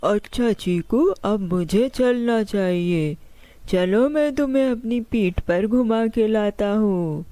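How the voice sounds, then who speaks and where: A man voicing a friendly, polite, hungry elephant with a smooth, mellow voice in a children's cartoon. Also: sits high, slow pace. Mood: grateful. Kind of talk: children's cartoon story voice acting.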